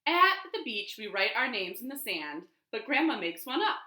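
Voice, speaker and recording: Animated woman leading children's storytime. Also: on the high side. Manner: animated, slow and clearly paced for young children. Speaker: children's storytime host at a library. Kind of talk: children's storytime with rhymes and picture-book reading. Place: living room at home. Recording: roomy, echoing home living room.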